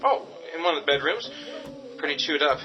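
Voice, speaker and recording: A man's voice: on the high side, very animated, a little fast. An actor playing an earnest young man in a radio drama, speaking helpfully but a little hesitantly. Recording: muffled audio with loud music.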